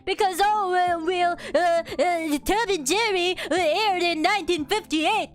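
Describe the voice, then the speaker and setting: A young woman streaming as a VTuber, chatting casually while she draws and reacts to chat with animated, mock-outraged restarts. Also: extremely high pitch, a little slow.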